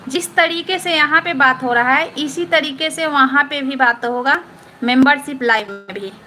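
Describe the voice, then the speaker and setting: A woman's voice: high-pitched, normal speed, sharp tone, faint music behind. A woman from Patna, chatting casually with viewers on a live stream, repeating herself and checking that they understood. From Patna, Bihar. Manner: chatty, repetitive, keeps asking "understood?". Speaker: live-stream host answering viewer comments.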